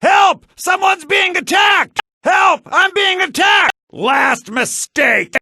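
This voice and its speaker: A man playing a sly, grasping shopkeeper in a fantasy video game, with a raspy, theatrical delivery, in low-bitrate compressed audio. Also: expressive, slow, sharp tone, no room sound, raised voice, clean studio sound, heavily acted. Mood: alarmed, angry. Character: sly fantasy male shopkeeper, grasping, quick to yell at thieves. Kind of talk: video game character barks.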